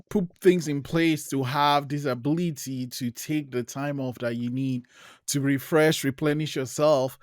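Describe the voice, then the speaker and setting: Low-voiced woman host, encouraging. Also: darkish tone, no room sound, studio quality. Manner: encouraging, with frequent listening sounds. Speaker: podcast host. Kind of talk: casual interview chat.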